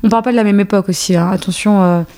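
Hesitant woman in her thirties, mellow voice. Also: mid-range voice, fairly even intonation, rapid-fire, smooth. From born in Algeria, raised in a French suburb. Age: 30s. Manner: hesitant, many fillers and restarts. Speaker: guest, choreographer and dance teacher. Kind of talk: casual conversational interview.

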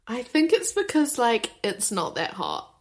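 A woman with a New Zealand accent chats on a podcast at an easy, unhurried pace. Her pitch swings up and down with lively expression. She sounds relaxed and giggly, and she turns thoughtful when she reflects. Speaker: podcast co-host. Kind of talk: casual chat.

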